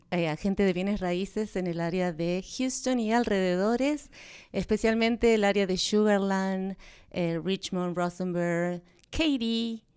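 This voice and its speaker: A middle-aged woman from Argentina with an Argentine Spanish accent, a native Spanish speaker, answering interview questions in a soft-spoken, hesitant way. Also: mid-range voice, clean tone, no room sound, clean studio sound. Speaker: guest real estate agent. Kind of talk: interview answers switching between Spanish and English.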